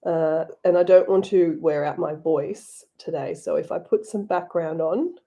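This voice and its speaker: A woman's voice: low-pitched, measured pace, mellow, lo-fi sound. A woman with an Australian accent and a sore throat, chatting casually to viewers while she crafts on a livestream. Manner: chatty, addresses viewers directly. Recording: faint background music.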